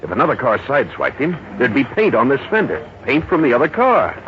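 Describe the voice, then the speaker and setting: A shrewd American police sergeant from a 1950s radio play. He is brisk and skeptical, his pitch moving freely, on a muffled old recording with faint noise. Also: huge pitch swings. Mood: businesslike. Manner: brisk, matter-of-fact, skeptical. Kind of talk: 1950s radio drama acting.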